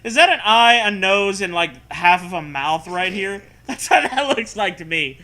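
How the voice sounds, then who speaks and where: Bright-voiced, higher-pitched sarcastic man. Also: expressive, moderate pace. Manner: sarcastic, profane heckling. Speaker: comedy co-host reading fan fiction aloud. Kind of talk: mocking dramatic reading with casual riffing.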